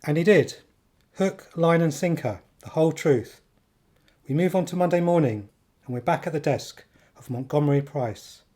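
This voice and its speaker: An actor narrating a radio play as a wry storyteller. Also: male voice, a little high, normal speed, mellow, lightly acted.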